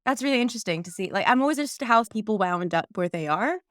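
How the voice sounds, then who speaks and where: A woman's voice: mid-range voice, lively intonation, quick pace, fairly bright tone, no echo, studio quality. A woman co-hosting a podcast, chatting playfully with the guests and often saying "like". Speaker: podcast co-host. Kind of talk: casual podcast chat.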